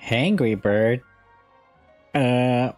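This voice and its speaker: A man's voice: mid-range voice, extremely slow, darkish tone, faint music behind. A man giving casual, amused reaction commentary over a game in short exclamations. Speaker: gameplay video host.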